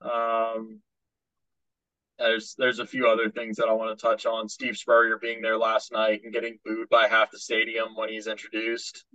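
A man who writes about sports, co-hosting a casual podcast and explaining things in a measured way. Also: fairly low pitch, completely flat delivery, pushed and bright, dry recording, low-quality recording. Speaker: sportswriter co-host.

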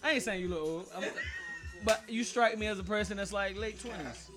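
A man's voice: high-pitched, fairly quick, over music. A man in his late twenties chatting casually on a podcast, teasing and joking in slangy, profane speech. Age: late 20s.

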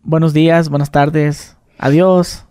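A Mexican-accented man hosting a relaxed conversation, slightly high for a man, with a dark, mellow timbre. He is joking and colloquial. The recording is dry and close. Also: slow pace, smooth, no echo. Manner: joking, colloquial, acts out quotes. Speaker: interviewer. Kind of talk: casual interview conversation.

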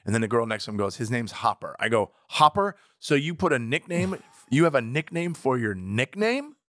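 A brash man who swears freely and teases while telling comedy-club stories. His voice sits at a middle pitch and is recorded dry and close. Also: big pitch swings, normal speed, a bit bright, no room sound. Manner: brash, profane, teasing storyteller. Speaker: podcast co-host and stand-up comic. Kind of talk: casual banter.

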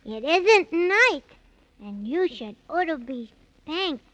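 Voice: high voice, sing-song, slow pace, pushed and bright, exaggerated voice. A performer playing an earnest, plucky six-year-old boy with childlike scolding and pleading, in 1930s children's radio drama style. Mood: indignant.

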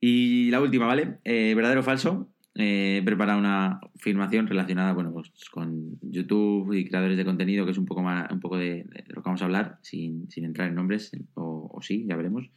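A man's voice: deep voice, steady tone, brisk, hardly any pauses. A man hosting an interview podcast, friendly and a bit hesitant, with many restarts as he sets up questions. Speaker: podcast host.

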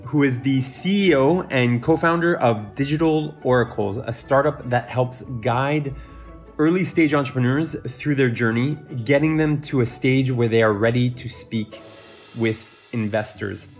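A male podcast host with a mid-range voice delivers an upbeat, scripted introduction of his guest. Faint music plays quietly behind him. He then moves into relaxed questions. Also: mid-range voice, unhurried. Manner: upbeat, read-aloud introduction.

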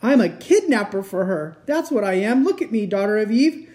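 A woman's voice: medium pitch, lively intonation, normal speed, mellow, pitched above the performer's own voice, lightly acted. A woman voicing a sorrowful, guilt-ridden male fawn in a melodramatic, tearful storybook reading. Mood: guilty, anguished. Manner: tearful, self-reproaching, melodramatic.

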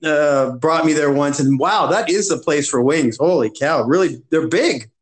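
A man co-hosting a casual podcast chat, talking in halting restarts and laughing easily. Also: fairly high pitch. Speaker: podcast co-host.

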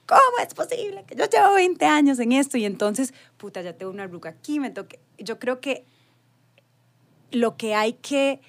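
A woman's voice: sits high, very animated, moderate pace, a bit bright. A woman with a Costa Rican Spanish accent, a frank panelist who searches for words and uses slang. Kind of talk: casual panel chat.

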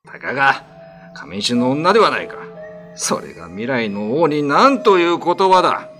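A man's voice: medium pitch, big pitch swings, moderate pace, fairly bright tone, soft background music. A man playing a cruel, haughty island lord in a radio drama, threatening and commanding in archaic lordly speech. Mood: furious, imperious.

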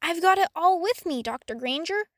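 A woman's voice: very high-pitched, animated, moderate pace, no room sound, studio quality, pitched above the performer's own voice. A woman voicing a polite, eager, evasive young boy wizard in a storybook reading. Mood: earnest.

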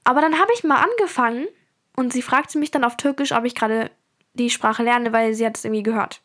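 Teenage girl, podcast host, casual rambling chat, fairly high voice. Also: brisk, fairly bright tone. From Germany. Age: teens. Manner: chatty, rambling, frequent fillers.

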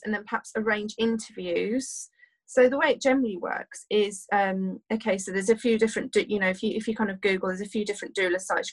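Doula with a tiring voice. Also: female voice, mid-range voice, a bit bright, low-quality recording. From United Kingdom. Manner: rambling, many fillers and restarts. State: losing her voice. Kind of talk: casual podcast interview.

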